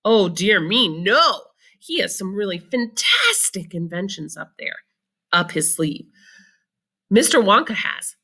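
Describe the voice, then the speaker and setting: Woman reading as an excited old grandpa, animated. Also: medium pitch, breathy edge, heavily acted. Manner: eager, marveling. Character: very old, frail but excited grandfather storyteller. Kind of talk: children's storybook read-aloud.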